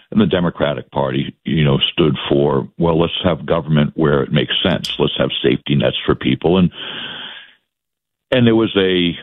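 A man in his sixties from the South Shore of Boston with a Boston accent, talking politics as a guest in a halting way, with many fillers and restarts. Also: rumbling low, dry recording. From Massachusetts, South Shore of Boston. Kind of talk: political talk interview. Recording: dry, phone-like line.

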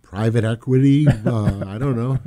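A man from Massachusetts co-hosting a radio show, rambling casually, trailing off and often clearing his throat. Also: medium pitch, normal speed. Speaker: radio co-host. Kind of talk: casual talk radio banter.

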